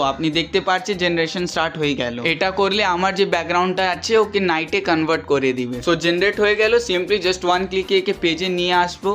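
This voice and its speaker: A man's voice: high-pitched, fairly bright tone. A man giving a step-by-step screen tutorial as instructor, mixing English words and connectives into his talk.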